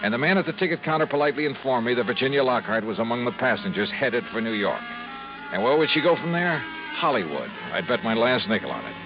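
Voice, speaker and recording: A man's voice: mid-range voice, expressive, natural voice. An actor playing a wry, hard-boiled male investigator narrating his own case in a 1950s radio drama. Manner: wry, clipped, fast-talking, hesitant when flirting. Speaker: narrator and lead. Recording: dull lo-fi old radio recording with music.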